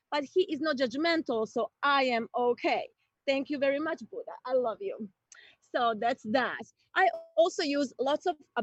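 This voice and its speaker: A woman from Croatia performs a playful stand-up set in a high, bright-sounding voice. She talks slowly and deliberately, then bursts into excitement. The audio is dry and a little dull, like a home streaming mic. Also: dry recording. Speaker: stand-up comedian.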